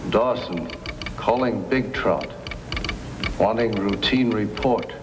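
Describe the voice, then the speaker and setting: An actor playing an uneasy crook's accomplice in an old-time radio drama. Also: male voice, on the low side, slow. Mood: reporting. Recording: distant, reverberant, noisy old radio recording.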